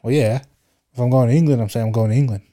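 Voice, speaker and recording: A man's voice: mid-range voice, steady tone, very fast, dark tone. A young man co-hosting a podcast, chatting casually in a slangy, laid-back way with plenty of swearing. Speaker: podcast co-host. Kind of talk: casual chat.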